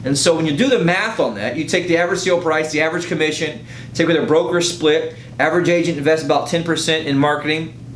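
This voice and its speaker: Guy realtor doing a persuasive sales pitch, slightly high voice, echoey room w some rumble. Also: fast, fairly bright tone. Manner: emphatic, persuasive, rhetorical check-ins with "right?". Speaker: real estate agent pitching services. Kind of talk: informal sales pitch to prospective clients. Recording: roomy echo, faint low rumble.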